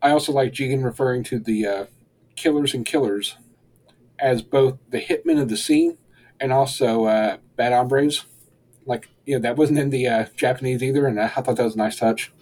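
A young man co-hosting a podcast, reviewing an episode in relaxed, rambling casual chat and chuckling often. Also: mid-range voice, normal speed, poor audio. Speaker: podcast co-host.

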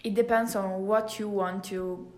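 Slow-talking teenage Italian girl, roomy echo. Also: medium pitch, steady tone, a little mellow. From Italy. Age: teenager, high school student. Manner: halting, searching for words in learned English. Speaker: podcast guest, exchange student. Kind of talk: casual interview chat.

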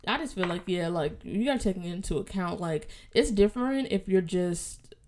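A woman's voice: fairly low pitch, lively intonation, normal speed, a little mellow, slight background noise. A young adult woman, a student, chatting casually and thinking aloud in hesitant fragments. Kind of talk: casual chat.

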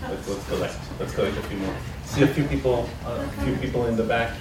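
A man's voice: mid-range voice, background noise. Man running questions in an echoey hall. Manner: brisk, organizing, directs the floor. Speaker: moderator. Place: seminar hall. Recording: echoey hall, distant mic.

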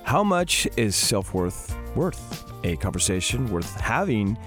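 A man's voice: fairly low pitch, sing-song, slow, over music. A man co-hosting a parenting podcast, affirming guests and reading the sign-off. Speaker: podcast co-host.

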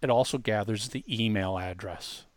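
A man's voice: lowish voice, moderate pace, crowd noise. A man hosting a casual screen-share tutorial, explaining things informally step by step. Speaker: host demonstrating a music marketing tool.

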